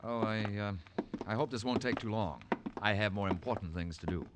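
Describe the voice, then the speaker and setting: An actor playing a fussy small-town lawyer in an old radio western, hesitant, prim and uneasy. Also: male voice, fairly low pitch, brisk, very noisy, natural voice.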